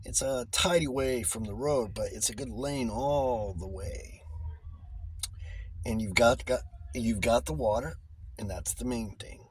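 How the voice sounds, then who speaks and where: A man's voice: mid-range voice, lively intonation, a little hiss or hum. A man voicing a talkative old shopkeeper in an audiobook, with folksy, ungrammatical speech that stumbles and repeats itself. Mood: helpful. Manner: folksy, ungrammatical, halting repetitions. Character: blustery old rustic male shopkeeper, talkative and eager to sell. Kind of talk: audiobook character voice.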